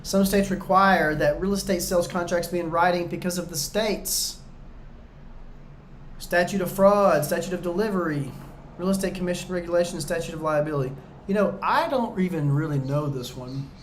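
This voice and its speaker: A man tutoring viewers through real estate exam questions in a casual, chatty way, joking and going a bit hoarse. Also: high voice, normal speed, roomy sound, a little hiss or hum. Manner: chatty, talks straight to viewers, jokey asides. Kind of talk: casual practice-exam walkthrough.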